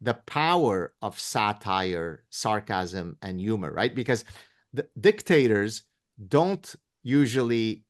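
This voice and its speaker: A man's voice: on the low side, lively intonation, slow pace. A man in his fifties, a professor guest in an interview, talking in an emphatic, sarcastic, lecturing way. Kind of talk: interview conversation.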